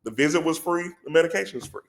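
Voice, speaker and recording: Congested man pitching, calling everyone bro. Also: racing, gravelly. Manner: animated and persuasive, says "bro" a lot. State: congested head cold. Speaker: healthcare company president, podcast guest. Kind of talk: casual podcast conversation.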